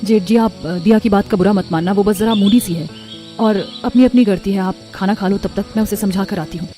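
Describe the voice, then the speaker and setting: Gentle peacemaker sister-in-law, voiced by a woman for cartoon family drama, mid pitch. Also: a little fast, faint music behind, lightly acted. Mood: soft, soothing. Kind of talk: acted cartoon story dialogue.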